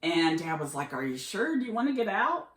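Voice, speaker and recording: A woman's voice: fairly low pitch, a little fast. A middle-aged woman podcast host casually telling travel anecdotes, animatedly acting out the dialogue as she goes. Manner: animated retelling with quoted dialogue. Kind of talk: casual conversational storytelling. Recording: roomy echo with clear background noise.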